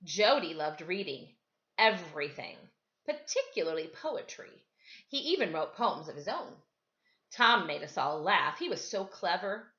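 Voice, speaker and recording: A woman reading a children's story aloud in an animated, expressive way. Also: on the low side, measured pace, lo-fi sound. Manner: animated, dramatizes dialogue. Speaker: storybook reader, teacher.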